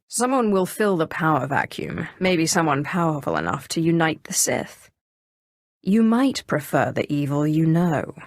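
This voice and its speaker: Low-voiced, imperious Sith sorceress. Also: female voice, dry recording, studio quality. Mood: calm, persuasive. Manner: poised, measured, imperious. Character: commanding, cool-headed female Sith sorceress, haughty yet idealistic. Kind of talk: scripted video game dialogue acting.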